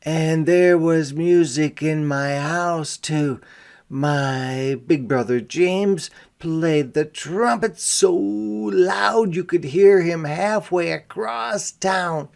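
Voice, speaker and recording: A man reading a picture book aloud to young children as a teacher, phrasing deliberately and pausing to explain words simply. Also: on the high side, vocal fry, darkish tone. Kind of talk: interactive children's read-aloud with explanatory asides.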